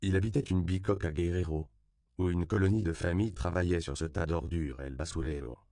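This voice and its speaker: Deep, gravelly male audiobook narrator. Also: dry recording, studio quality. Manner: calm, measured reading.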